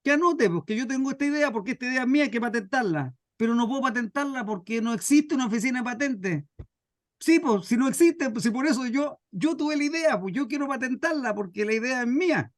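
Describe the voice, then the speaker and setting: A man's voice: very high voice, animated, a little fast, pitched above the performer's own voice. A man with a Chilean accent voicing an insistent, exasperated inventor who pleads in circles in a workshop anecdote. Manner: insistent, circular, repetitive pleading. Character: insistent, exasperated male inventor arguing at a counter.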